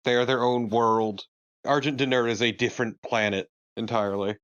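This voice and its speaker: A man's voice: medium pitch, fairly even intonation, moderate pace, fairly bright tone, smooth, lo-fi sound. A man co-commentating casually on a video game. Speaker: let's play co-commentator.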